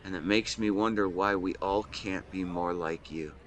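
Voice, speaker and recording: Outdoor ambience sits faintly behind a lovestruck young man, as acted by a man with a lowish voice. He speaks earnestly and tenderly, in halting phrases, as in an intimate romantic audio drama. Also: fairly even intonation, a little hiss or hum, natural voice. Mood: tender, adoring. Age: young adult. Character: lovestruck 24-year-old man confessing love to his best friend. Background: seaside boardwalk ambience effects.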